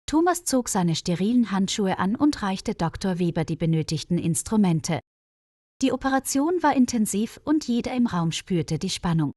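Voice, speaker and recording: A woman narrating a scripted story in a clear, measured way for learners. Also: big pitch swings, darkish tone, no echo, clean studio sound. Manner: clear, measured reading with quoted dialogue lifted higher.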